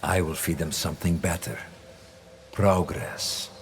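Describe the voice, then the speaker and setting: An actor playing a cold, calculating villain in a video game, speaking with deliberate, imperious menace. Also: male voice, deep voice, steady tone, gravelly, slight background noise. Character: cold, scheming Templar villain, a calculating man of ambition. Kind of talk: video game dramatic acting.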